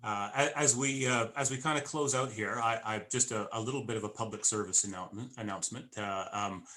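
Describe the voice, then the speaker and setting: Male host, bright forceful tone, halting and friendly delivery. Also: lowish voice. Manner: halting, many fillers and restarts, friendly. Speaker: interviewer. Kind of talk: interview wrap-up.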